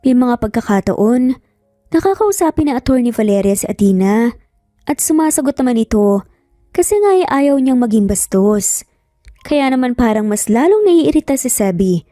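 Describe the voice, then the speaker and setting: A woman's voice: on the high side, sing-song, normal speed, warm tone, no room sound, clean studio sound. A woman with a Filipino accent reading a scripted romance story as its narrator. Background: background music.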